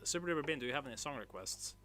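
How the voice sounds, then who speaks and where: A man from northern Norway, hosting a casual livestream and chatting with viewers in a halting way, full of filler sounds and restarts. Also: mid-range voice, expressive, bright, forceful tone. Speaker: livestream host. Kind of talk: casual livestream chat, reading chat messages.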